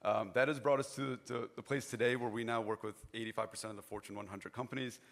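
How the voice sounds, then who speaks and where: A hoarse young man giving a chatty, informal conference presentation on stage, often restarting his phrases. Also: medium pitch, fairly even intonation, quick pace, a bit bright.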